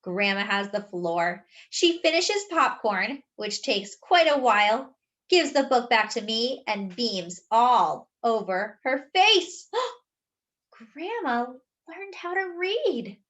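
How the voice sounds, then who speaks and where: A woman reads a picture book aloud in a bright, fairly high voice. Her delivery is animated and very varied in pitch, shaped for young children. She narrates as a storyteller, expressively and at an unhurried pace. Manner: animated, pausing to explain words.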